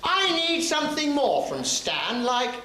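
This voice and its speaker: A man's voice: very high-pitched, wildly swooping pitch, measured pace, exaggerated voice. A British man playing a showy, gold-digging ugly sister in rhyming stage pantomime. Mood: sly, comic. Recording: echoey hall, loud audience, heavy noise.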